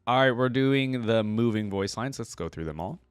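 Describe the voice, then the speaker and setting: A man's voice: medium pitch, animated, normal speed, smooth, dry recording, studio quality. A man giving casual, musing reaction commentary as the host of a video.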